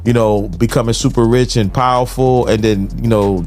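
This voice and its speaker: A man's voice: medium pitch, steady tone, normal speed, darkish tone, soft background music. An American man hosting a podcast, talking casually with lots of slang and conversational tags. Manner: slangy, full of conversational tags like "you know". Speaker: podcast host.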